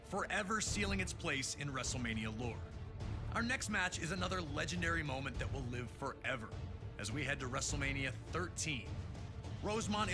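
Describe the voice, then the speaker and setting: A man narrates sports history over clear background music. His delivery is scripted and emphatic, with a dramatic documentary feel. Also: on the high side. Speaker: narrator and host of a wrestling history showcase. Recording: music under the voice.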